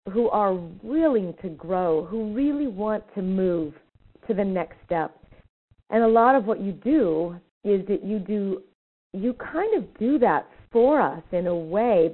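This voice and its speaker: A woman hosting a spiritual talk show, effusive and a little rambling as she interviews a guest. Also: medium pitch. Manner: warm, effusive, slightly rambling. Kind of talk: radio interview. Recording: thin phone-like line.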